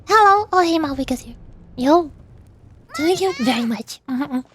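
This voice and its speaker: A woman's voice: high voice, animated, a little slow, a little hiss or hum. A woman livestreaming a video game, chatting casually in a playful, rambling way and muttering little asides to herself. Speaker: livestream host, VTuber playing a video game. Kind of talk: casual gaming stream chat. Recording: music behind the voice.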